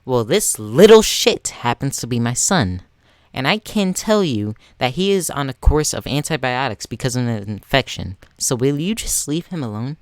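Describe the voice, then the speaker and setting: A man's voice: medium pitch, lively intonation, moderate pace, dry recording, clean studio sound, close to an everyday voice. A teenage boy voicing a firm, protective mother in a story, scolding as she defends her child.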